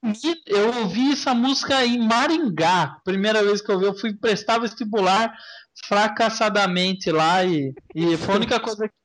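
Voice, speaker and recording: A Brazilian man with a noticeably high voice co-hosts a casual podcast. He speaks in slang and swears freely. He talks in anecdotes, as if among friends. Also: normal speed, fairly bright tone, poor audio. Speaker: podcast co-host. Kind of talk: casual chat.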